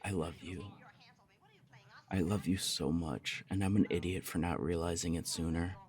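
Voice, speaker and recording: A man playing a lovesick, earnest young punk roommate in an intimate ASMR romance roleplay, speaking in a halting way. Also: deep voice, steady tone, slightly rough. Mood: earnest, anguished confession.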